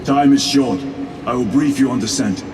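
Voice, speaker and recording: An actor playing a stoic, commanding veteran space-marine lieutenant in a video game, terse and formal. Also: male voice, mid-range voice, normal speed, noisy background, lightly acted. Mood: stern, restrained. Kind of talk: video game cutscene acting.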